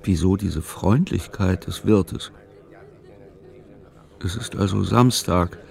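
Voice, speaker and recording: A man narrating a literary audio drama in measured, clipped, matter-of-fact phrasing. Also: low voice, gravelly, a little mellow. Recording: music behind the voice. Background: music.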